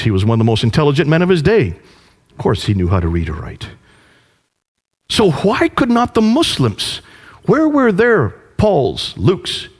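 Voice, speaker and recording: A man preaching with a lively, swooping pitch and emphatic rhetorical questions. His voice is a little husky, and it rings out with a long hall reverb. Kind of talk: preaching lecture.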